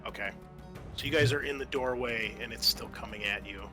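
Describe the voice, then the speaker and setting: A man's voice: medium pitch, brisk, over music. A man acting as game master, narrating a tabletop game in a casual, loose way.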